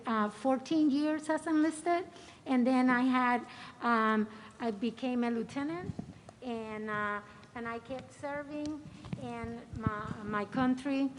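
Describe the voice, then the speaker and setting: A middle-aged woman, a retired Coast Guard lieutenant, reads a short prepared statement. She hesitates often, filling the gaps with small sounds. Her voice sits high. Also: slight background noise, poor audio. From Dominican Republic. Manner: hesitant, many filler sounds. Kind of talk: reading a prepared personal statement.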